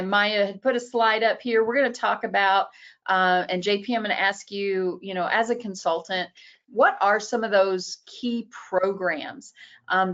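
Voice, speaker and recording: A woman's voice: mid-range voice, fairly bright tone, dull audio. A woman moderating a webinar panel who asks long questions and fills them with "you know" and "uh". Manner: many fillers, you know and uh.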